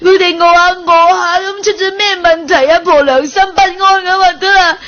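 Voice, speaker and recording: An actress playing a feisty, kind-hearted old granny, whining and scolding in a radio comedy. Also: female voice, very high voice, fairly even intonation, moderate pace, fairly bright tone. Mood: pained, insistent. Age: older. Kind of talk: improvised radio comedy drama. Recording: dull lo-fi, noisy broadcast.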